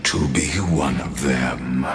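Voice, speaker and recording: Very deep, slow, courtly villain. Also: male voice, creaky, heavily acted. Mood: smooth persuasion. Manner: formal, deliberate, theatrical. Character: calm, courtly, menacing male villain bargaining with a traveler. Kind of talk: video game story acting. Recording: roomy echo, game music behind. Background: game music.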